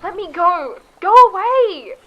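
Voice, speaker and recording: A woman's voice: very high-pitched, animated, slow, highs cut off, heavily acted. A woman playing a lonely, guilt-ridden young woman who pleads emotionally, in an amateur radio drama. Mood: panicked screaming.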